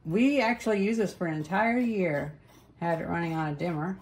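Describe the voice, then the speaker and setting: Low-voiced young woman thinking aloud. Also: brisk. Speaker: hobbyist vlogger demonstrating old light bulbs. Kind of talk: casual off-the-cuff demonstration chat. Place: living room at home, indoors. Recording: clear background noise, home camera recording.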